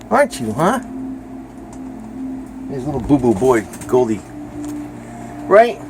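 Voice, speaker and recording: A man's voice: medium pitch, slight background noise, rough recording. An American man chatting casually off the cuff in a rambling, informal, folksy way. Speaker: vlogger.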